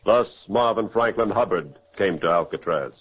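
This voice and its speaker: A man's voice: low-pitched, expressive, normal speed, fairly bright tone. An American man narrating a 1940s radio crime drama in a grave, formal, declamatory way. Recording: muffled, dry 1940s radio recording.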